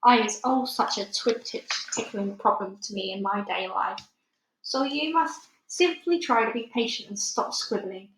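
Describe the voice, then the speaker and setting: A woman voicing a very old, good-hearted male giant from a children's storybook, excitable and easily wounded, talking in muddled grammar and made-up words. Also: medium pitch, measured pace, slight background noise, close to an everyday voice. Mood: forlorn, apologetic. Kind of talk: children's storybook read aloud. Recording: echoey room.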